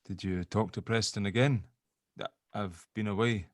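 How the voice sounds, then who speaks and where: An actor playing a gruff, clumsy ex-convict father who is keen to please, in a drama table read. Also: fairly low pitch, fairly even intonation, normal speed, no echo, studio quality, lightly acted. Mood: awkward, tentative.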